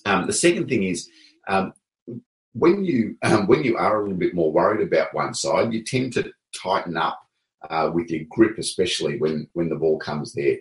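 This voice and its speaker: A man coaching table tennis in a casual, relaxed way, pausing often with fillers. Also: deep voice, echoey room, poor audio. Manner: relaxed, hesitant with many fillers.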